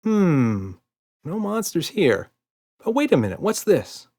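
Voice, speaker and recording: A man's voice: a little high, sing-song, slow pace, warm tone, pitched above the performer's own voice, full character voice. A man voicing a patient, reassuring, playful father in a children's storybook, indulgent and mock-serious. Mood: affectionate.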